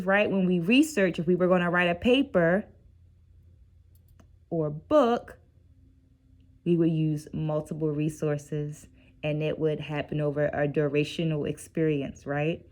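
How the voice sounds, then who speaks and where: Middle-aged woman, slightly low conversational voice. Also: darkish tone. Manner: conversational teacherly, often checks in with "right?". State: tired. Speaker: dance educator and video host. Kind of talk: casual reflective book-discussion vlog.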